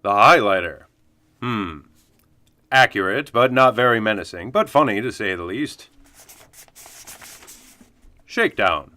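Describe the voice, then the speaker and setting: A man playing an earnest, opinionated news anchor in a comic audio drama, presenting in a polished deadpan. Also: lowish voice, unhurried, pauses often. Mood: deadpan, judging.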